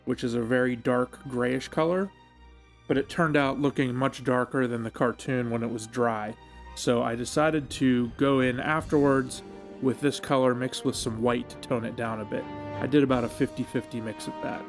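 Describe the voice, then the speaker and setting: A man with a mid-pitched voice narrates a hobby tutorial. He explains each step in a measured, instructional way. Music plays behind his voice-over. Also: fairly even intonation. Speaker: hobby painting tutorial host. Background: background music.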